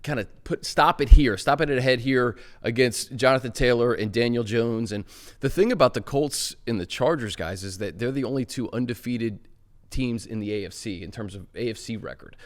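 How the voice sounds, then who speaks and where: Chatty man hosting a sports show. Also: mid-range voice. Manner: chatty, quick back-and-forth, hedging fillers. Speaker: host. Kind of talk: casual sports talk.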